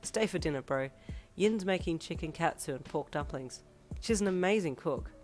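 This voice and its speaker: A hoarse woman voicing a doting young dad. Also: low-pitched, expressive, moderate pace, a little mellow, soft background music. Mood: friendly, teasing. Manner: tender with the baby, teasing and upbeat with his friend. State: hoarse, losing her voice. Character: young adult male hero, doting new father.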